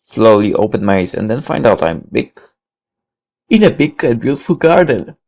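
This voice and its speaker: A man's voice: fairly low pitch, very animated, normal speed. A man reading first-person visual novel narration aloud in a dramatic style.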